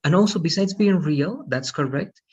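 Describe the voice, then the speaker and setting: A man's voice: on the high side, expressive, normal speed, darkish tone, husky, highs cut off. A man in his thirties teaching an online English class, patiently checking understanding with frequent "okay?" and "right?". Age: 30s.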